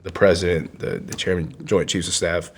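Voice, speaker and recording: A man's voice: sits low, fairly even intonation, normal speed. A man in his fifties, from Vietnam with Vietnamese as his first language, earnestly telling his life story in a podcast interview with frequent restarts. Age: 50s. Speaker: guest, congressional candidate.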